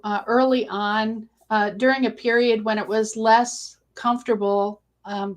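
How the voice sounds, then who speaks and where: Female researcher as interview guest, higher-pitched voice, conversational, thinks aloud with halting phrases. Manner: thoughtful, halting delivery.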